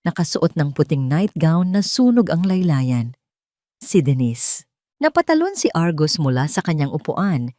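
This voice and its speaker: Low, animated Filipino woman storyteller. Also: no echo, studio quality. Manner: expressive, suspenseful storytelling. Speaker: story narrator. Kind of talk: dramatic scripted audio-drama narration.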